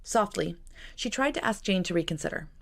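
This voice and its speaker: A woman reading a novel aloud as narrator, with an expressive, measured, dramatic storytelling delivery. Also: lowish voice, vocal fry. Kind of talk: expressive scripted audiobook narration.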